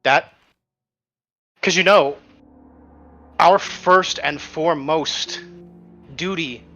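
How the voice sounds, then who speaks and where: A man's voice: a little high, drawn-out words, bright, forceful tone, dull audio, faint music behind. A man talking at length as a tabletop game player, casually arguing out strategy with some mild swearing. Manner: talkative, argues points at length, mild swearing.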